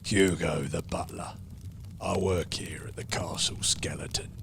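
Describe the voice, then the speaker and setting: An actor playing a creepy, ominous castle butler in a comedy horror radio play, speaking tersely in a gravelly, breathy voice. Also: male voice, low voice, fairly even intonation, measured pace, exaggerated voice. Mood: ominous, guarded. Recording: loud background ambience and noise.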